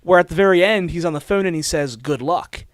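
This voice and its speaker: A man hosting a casual movie podcast, animated, laughing often and swearing freely. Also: fairly high pitch, a little fast, dry recording, clean studio sound. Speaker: podcast host.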